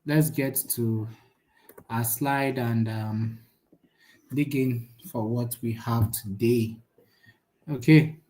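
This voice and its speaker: A man's voice: mid-range voice, takes it slowly, long pauses. A young man teaching a live online class in a chatty, casual way, often checking in with the audience. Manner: chatty, frequent "right?" tags, lively jumps in loudness. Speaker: online course instructor.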